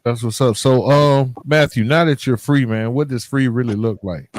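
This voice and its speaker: A man's voice: mid-range voice, moderate pace, dry recording. A man hosting a podcast in an earnest, informal conversational way, peppering his talk with casual asides. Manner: earnest, informal, often saying "man" and "you know". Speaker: podcast host.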